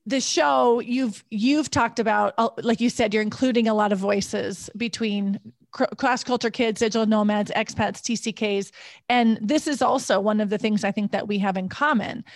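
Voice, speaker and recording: A woman's voice: fairly high pitch, normal speed, dry recording. Dry-sounding woman podcast host. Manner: engaged, frequent short backchannels and clarifying questions. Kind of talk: interviewing a guest.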